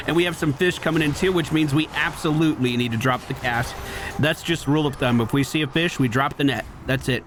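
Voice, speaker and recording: Lively swooping intonation, slightly high male voice, casual game commentary, gaming host. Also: a little hiss or hum. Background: video game sounds.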